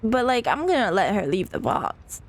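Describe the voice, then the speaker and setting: A woman's voice: medium pitch, big pitch swings, normal speed. A woman reading a visual novel aloud on a playthrough, breaking off into giggly, amused asides.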